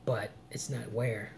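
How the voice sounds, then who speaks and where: A man in his late thirties giving earnest, preachy relationship advice on a live vlog, swinging between loud emphasis and low murmurs. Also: slight background noise. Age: 30s. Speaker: vlogger. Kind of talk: live-stream advice chat.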